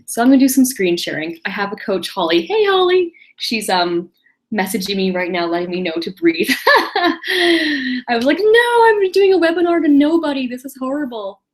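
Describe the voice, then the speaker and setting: A woman in her late thirties hosting a casual online webinar alone, chatty and self-deprecating, with quick laughs and apologies. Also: sits high, big pitch swings. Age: 30s. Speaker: webinar host. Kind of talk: casual solo webinar presentation.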